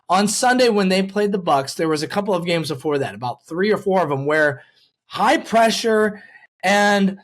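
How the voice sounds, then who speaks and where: A man hosting a casual sports podcast, speaking in an enthusiastic, informal way. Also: sits high. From US West Coast. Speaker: podcast host.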